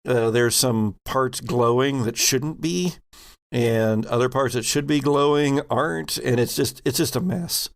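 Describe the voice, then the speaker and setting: A man improvising narration as game master of a tabletop role-playing game, halting as he searches for words. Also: mid-range voice, gravelly.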